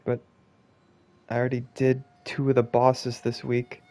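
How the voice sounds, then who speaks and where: A man's voice: medium pitch, dark tone. A man streaming a video game with casual commentary, blunt, sweary and given to exasperation. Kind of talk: casual gaming commentary with reading of on-screen text.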